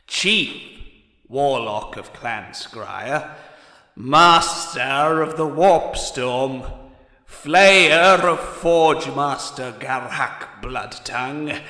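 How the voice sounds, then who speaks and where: A man voicing a menacing, boastful ratman warlock in a fantasy story, declaiming pompously and deliberately. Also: medium pitch, sharp tone, heavily acted. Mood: boastful menace. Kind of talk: fantasy audiobook character acting. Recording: echoey hall with long reverb.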